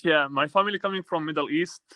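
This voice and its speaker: A fairly high-voiced man from Sweden leads a business call. He speaks briskly, with slightly non-native phrasing, and the sound is close and dry with almost no room. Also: pushed and bright, no echo. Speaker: capital-raising advisor hosting a call.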